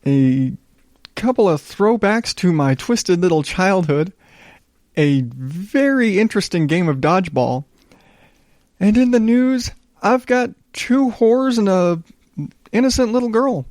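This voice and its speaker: A man hosting a solo podcast in a smooth voice, chatting casually in a low-key, rambling, self-deprecating way with some swearing. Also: animated, a little slow, darkish tone, no room sound, clean studio sound. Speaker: podcast host.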